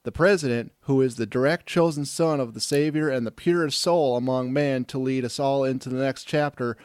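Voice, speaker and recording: Sanctimonious conservative plotter played by a man, medium pitch, grandiose, very dry sound, comic radio drama. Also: brisk, darkish tone, clear, resonant tone, dry recording, lightly acted. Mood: smug. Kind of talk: satirical radio drama acting.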